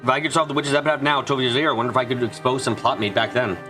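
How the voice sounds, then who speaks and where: A man reading a visual novel aloud as an expressive fan dub, narrating and voicing dialogue with the odd stumble. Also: mid-range voice, racing, over music.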